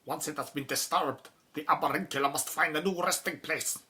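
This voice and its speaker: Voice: medium pitch, a little fast, pushed and bright, lightly acted. An actor playing a grave, formal official in an audio drama, solemn, precise and foreboding. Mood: grave, urgent warning.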